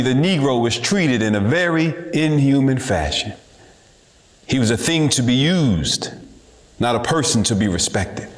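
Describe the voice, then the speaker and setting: In an echoey room, a man delivers a dramatic reading of a historical speech. His pitch is average, and he speaks like a deliberate, measured orator.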